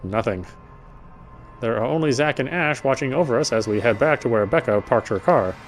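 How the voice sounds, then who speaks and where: A man's voice: mid-range voice, animated, moderate pace. Man narrating horror over music. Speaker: gameplay streamer reading a visual novel aloud. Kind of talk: dramatic read-aloud narration of a horror story. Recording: music behind the voice. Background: game music.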